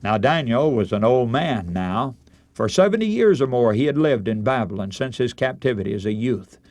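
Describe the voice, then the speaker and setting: A man preaching a radio sermon, telling a Bible story in solemn, deliberate oratory with dramatic emphasis. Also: mid-range voice, animated, darkish tone, no room sound.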